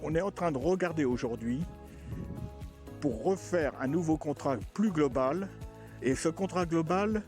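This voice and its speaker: A man speaking as a local elected official on location in a village, giving an institutional statement in short, deliberate phrases. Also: on the high side, lively intonation, darkish tone, soft background music.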